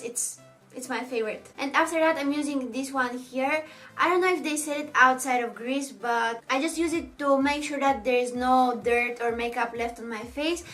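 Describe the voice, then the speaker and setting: A woman's voice: sits high, fairly bright tone. A young woman from Bulgaria with a Bulgarian accent, English not her first language, chatting casually as a makeup vlogger answering viewer questions. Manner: chatty, reads viewer questions aloud, laughs often. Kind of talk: casual Q&A chat.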